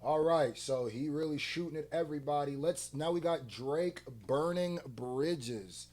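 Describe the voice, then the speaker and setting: A man giving casual, blunt and animated commentary as a music reaction host, full of slang and rhetorical questions. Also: a little high, a little slow.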